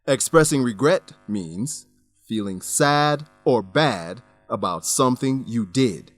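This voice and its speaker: A man narrating a scripted English lesson, enunciating deliberately as he explains words and grammar. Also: mid-range voice, animated, no echo, soft background music.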